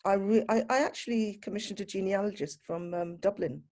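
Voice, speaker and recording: A woman being interviewed, talking casually and reflectively in an unhurried conversational style. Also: medium pitch, a little mellow. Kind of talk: casual reminiscing.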